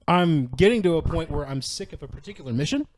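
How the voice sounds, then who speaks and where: Higher-pitched man co-hosting a gaming podcast. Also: lively intonation, fast, a little mellow, no echo. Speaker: podcast co-host. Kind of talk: casual gaming chat.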